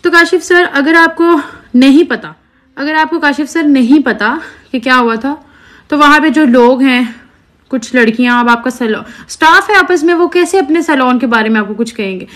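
Girl in her 20s venting to followers on her phone, kinda high voice, pleading and fired up. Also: normal speed, a bit bright. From Pakistan. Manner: earnest, indignant, pleading. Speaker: social media creator. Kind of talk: selfie video complaint to followers. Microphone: phone held at arm's length.

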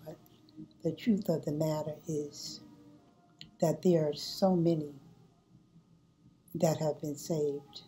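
An older woman giving a sermon from her home, speaking slowly and deliberately with frequent pauses and fillers, recorded on a close webcam. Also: sits low, mellow. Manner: slow and deliberate, pausing often with fillers. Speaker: church speaker. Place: home room, indoors. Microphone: webcam at close range.

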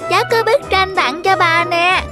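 A woman's voice: very high-pitched, moderate pace, over music. A woman voicing an affectionate, earnest little boy in a cartoon. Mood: eager, affectionate. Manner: earnest, childlike.

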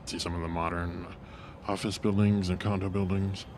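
A man narrating a casual walking tour of a city street outdoors, halting with many fillers and restarts. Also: low voice, flat delivery. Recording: noisy street traffic behind.

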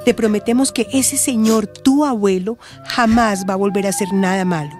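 An actress with a Colombian accent playing a caring but firm friend in a radio soap, earnest and persuasive. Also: female voice, medium pitch, fairly even intonation, moderate pace, darkish tone, faint music behind, close to an everyday voice. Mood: reassuring. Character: caring, firm woman who counsels friends. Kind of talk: radio soap opera acting.

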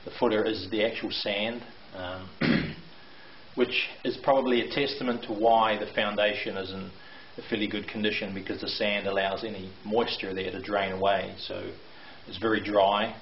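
A man's voice: lowish voice, level tone, moderate pace. Middle-aged man touring an echoey basement. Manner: explanatory, hesitant with fillers. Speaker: renovation contractor. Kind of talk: walkthrough video tour. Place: bare brick basement, indoors. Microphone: handheld camera mic. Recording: echoey, dull lo-fi with faint hiss.

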